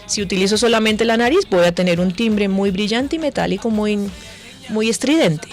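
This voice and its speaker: A woman's voice: medium pitch, moderate pace, faint music behind. A woman, a voice coach explaining conversationally as a radio guest, often checking with tag questions.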